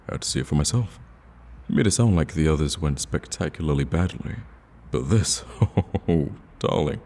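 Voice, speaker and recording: A man playing a smug, flirtatious villain in an ASMR roleplay, teasing the listener in a casual, drawling, gravelly voice. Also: a little mellow, full character voice. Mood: amused teasing. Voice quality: deep, gravelly.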